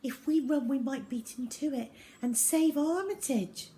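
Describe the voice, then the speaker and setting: Woman voicing an urgent, higher-pitched young girl. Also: measured pace. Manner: pleading, hushed or insistent. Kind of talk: children's storybook character voice.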